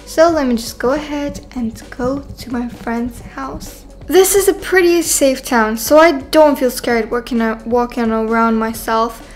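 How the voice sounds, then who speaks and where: A woman voicing an excitable, self-assured young girl in a game roleplay, chatting and thinking aloud dramatically. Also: a little high, a little slow, hardly any pauses, soft background music, lightly acted. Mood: cheerful musing. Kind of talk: voiced video game roleplay.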